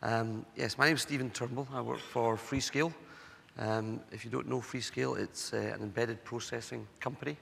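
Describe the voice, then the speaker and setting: A man with a cold and a hoarse voice, introducing himself on a conference panel in a measured way with many fillers. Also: mid-range voice, steady tone, a bit bright, slight background noise. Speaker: panelist, semiconductor executive. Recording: echoey conference hall.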